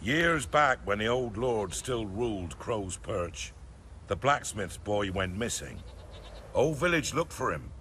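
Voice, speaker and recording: A man's voice: lowish voice, big pitch swings, measured pace, bright, forceful tone, a little hiss or hum, heavily acted. An actor playing a weary, sly villager in his seventies in a video game, with a British accent and a wry, folksy manner. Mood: sly, storytelling. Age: 70s. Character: weary, sly old male peasant villager.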